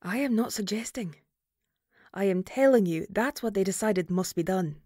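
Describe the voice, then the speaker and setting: A woman playing a stern, duty-bound banshee mother in an audio roleplay, speaking formally and gravely. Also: mid-range voice, normal speed, dark tone, no room sound, studio quality, lightly acted.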